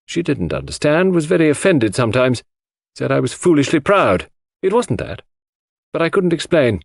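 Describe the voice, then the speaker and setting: A man plays a gruff, loyal Englishwoman in an audiobook, indignant and outspoken. The voice sits high and swings widely in pitch. Sentences come short, blunt and clipped, in a dry close recording. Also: sing-song, normal speed, no echo, exaggerated voice. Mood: bitter, defensive. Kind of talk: audiobook character voice.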